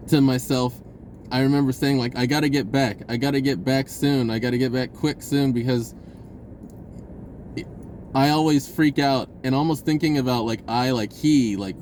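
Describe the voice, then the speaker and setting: A man telling a personal story in a casual, rambling, informal way with an animated, giggly delivery. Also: medium pitch, a little hiss or hum.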